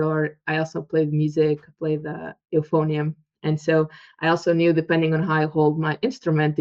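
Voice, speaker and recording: A woman in her thirties from Portugal, speaking with a Portuguese accent as a guest researcher in a casual interview, animated and quick to act out quoted dialogue with laughs. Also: low voice. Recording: muffled lo-fi audio.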